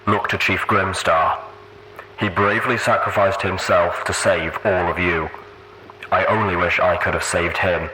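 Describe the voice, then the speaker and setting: Stoic male war pony, performed by a man, deep rumble, solemn storybook testimony over heavily noisy radio. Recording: very noisy radio-style sound.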